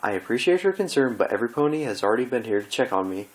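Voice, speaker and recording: A man's voice: medium pitch, sing-song, very fast, lightly acted, low-quality recording. A man voicing a studious young female pony in a cartoon fan story, patient and matter-of-fact with a touch of annoyance. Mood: reassuring, slightly annoyed.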